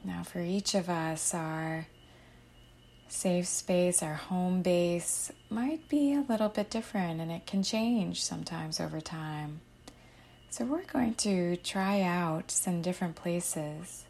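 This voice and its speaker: A woman narrating a guided meditation in a soothing, unhurried, gently instructive manner, letting her phrase endings fall softly. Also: on the low side, creaky.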